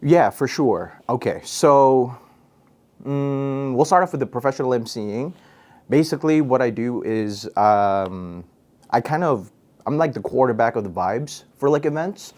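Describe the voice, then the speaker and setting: Young man from Guam, radio host and MC, relaxed and playful, casual interview tone. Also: mid-range voice, unhurried. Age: young adult. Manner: goofy, laid-back, chatty with fillers. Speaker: radio personality and MC, interview guest.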